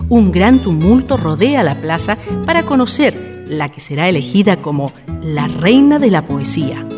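Chilean accent lady narrating a radio play, really expressive, guitar music behind. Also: lowish voice, big-room sound. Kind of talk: scripted radio drama narration.

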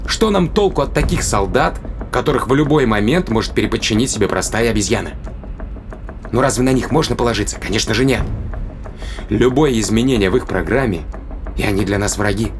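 A man's voice: on the low side, brisk, soft background music, natural voice. An actor playing a determined, risk-taking man in an audio drama, arguing insistently and persuasively with rhetorical questions. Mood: agitated, persuasive. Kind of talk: dramatic audio play acting.

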